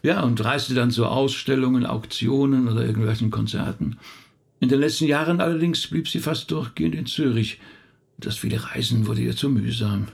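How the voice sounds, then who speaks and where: A man's voice: medium pitch, moderate pace, vocal fry, breathy edge. A male actor playing a middle-aged rabbi in a naturalistic radio drama, thoughtful, talkative and a little hesitant as he recounts family history. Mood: reflective, reminiscing. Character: thoughtful male rabbi recounting his late relative's life.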